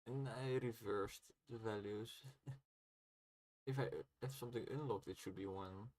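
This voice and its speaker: Slow-talking man thinking aloud on stream. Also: on the low side, steady tone, a little mellow. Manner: muttering to himself, thinking aloud. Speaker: indie game developer livestreaming. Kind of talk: casual livestream chat while working.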